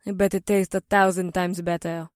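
A woman voicing an impulsive, bold raccoon woman in an audiobook, with an expressive delivery. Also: lowish voice, moderate pace, clean tone, dry recording, studio quality, lightly acted. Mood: bold, smug. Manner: smirking, expressive. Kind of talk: audiobook character acting.

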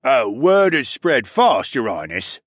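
A man's voice: a little high, big pitch swings, normal speed, gravelly, slightly rough, dry recording, clean studio sound, pitched above the performer's own voice, full character voice. A man voicing an angry, sneering male polar bear demi-human who leads a mob in an audiobook fantasy story. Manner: belligerent, shouting.

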